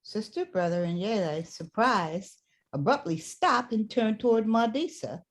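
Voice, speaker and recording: A woman's voice: medium pitch, animated, measured pace. A woman reading stage directions as scripted narration for a staged play reading.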